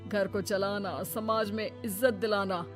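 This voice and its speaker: A woman's voice: medium pitch, steady tone, measured pace, over music, lightly acted. Woman playing a firm, protective older father-in-law. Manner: firm, reassuring.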